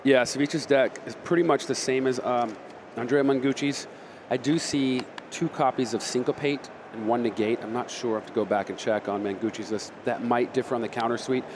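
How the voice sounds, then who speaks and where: A man giving analytic color commentary on card game decks, in a quick, explanatory way. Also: mid-range voice, fairly even intonation, background noise. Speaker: esports analyst.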